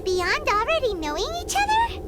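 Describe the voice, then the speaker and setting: A woman voicing a tiny, childlike girl fairy companion in an anime video game, blunt, excitable and expressive, with a squeaky voice. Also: normal speed, full character voice. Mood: chatty. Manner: scolding, expressive.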